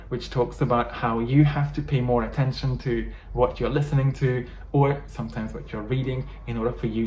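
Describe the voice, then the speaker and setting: A man's voice: mid-range voice, a little mellow. A man with a Hungarian accent, a native Hungarian speaker, explaining English learning to viewers in an earnest coaching style. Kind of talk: talking-head teaching video. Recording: echoey room, faint background noise.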